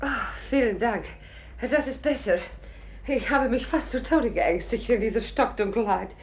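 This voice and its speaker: Effusive chatty lady, bold, very lively pitch, theatrical, faintly noisy vintage radio play. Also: moderate pace. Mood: innocent, chatty. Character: gushing, chatty American tourist lady, indignant and bold. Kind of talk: 1950s German radio play acting. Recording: noisy old radio recording.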